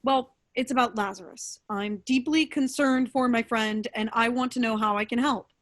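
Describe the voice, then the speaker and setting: A woman's voice: a little high, steady tone, moderate pace, natural voice. A woman playing an earnest, worried friend who seeks help, in a staged play reading. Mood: concerned, polite.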